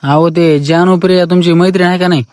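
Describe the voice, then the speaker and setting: A man's voice: high voice, steady tone, fast, a little mellow, no room sound, clean studio sound. A man with a rural Maharashtrian accent on a comic phone call, pleading and insistent while dodging questions. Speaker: caller, self-declared fan.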